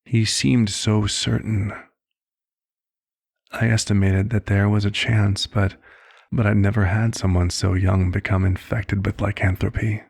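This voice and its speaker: Vampire physician, played by a man, male, ASMR roleplay: low, very husky, gentle, reflective and unhurried in manner. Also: flat delivery, dry recording, clean studio sound, heavily acted. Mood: somber, reflective. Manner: measured, hushed, reflective storytelling. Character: calm, caring male vampire doctor recounting a past case.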